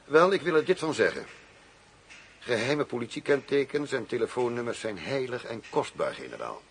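An actor playing a cool, demanding arms buyer in a radio drama, formal, firm and self-assured. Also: male voice, mid-range voice, very animated, moderate pace. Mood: haughty, impatient. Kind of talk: Dutch radio drama acting. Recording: noisy old radio drama recording.

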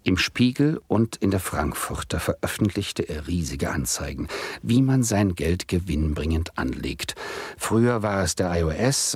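A low-pitched male narrator, a self-justifying student telling his story in a radio play. He speaks in dry, literary sentences with a wry, ironic edge. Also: husky, no echo, full character voice.

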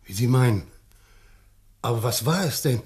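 A man's voice: mid-range voice, expressive, normal speed, darkish tone, natural voice. An actor playing a meek, worried local man who likes his schnapps, deferential in a German radio play. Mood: uneasy, obliging.

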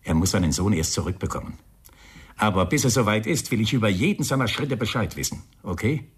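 An actor in a German radio crime drama playing a gruff, weary, wry police inspector with a dry, grumbling, bossy manner. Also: male voice, fairly low pitch, lively intonation, brisk. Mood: weary, businesslike.

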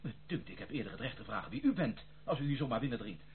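An actor playing a wary, protective gentleman in a radio play, speaking with formal, guarded politeness. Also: mid-range voice, very animated, fast. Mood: wary, indignant. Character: wary, protective gentleman houseguest, stiffly courteous. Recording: tinny old radio recording, faint noise.

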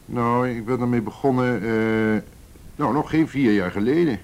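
A man's voice: medium pitch, moderate pace, darkish tone, close to an everyday voice. An actor in a radio play playing a defiant, blustering businessman, belligerent and sneering, who stammers when cornered. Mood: surly, evasive. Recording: faintly noisy radio drama recording.

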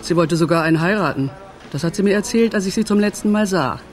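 A woman's voice: on the low side, fast talker, natural voice. An actress as a middle-aged woman in a radio crime drama. She sounds wistful and loyal, confiding things with some hesitation. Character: wistful, loyal woman missing her old friend.

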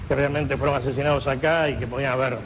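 A man's voice: mid-range voice, little pitch movement, fast, a little hiss or hum. An older man with an Argentine accent giving conversational spoken testimony.

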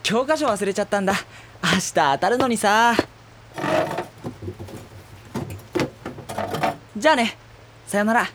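A man playing a gentle, wounded high school boy in an audio drama, speaking in a soft, introspective, earnest way. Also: lively intonation, a little fast, noisy background. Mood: awkward, polite. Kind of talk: Japanese audio drama acting with introspective monologue.

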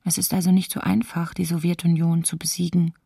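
Fearful diarist, radio docudrama, creaky, even-toned, sombre, short plain sentences. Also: female voice, fairly low pitch, fairly quick, dry recording, clean studio sound. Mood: bleak, resigned. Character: lonely, fearful woman diarist in wartime winter. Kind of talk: documentary radio play, diary reading.

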